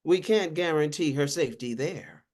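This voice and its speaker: A woman's voice: low voice, normal speed, a little mellow. A woman voicing a curt, unapologetic male government official who speaks formally and insistently. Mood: curt, threatening.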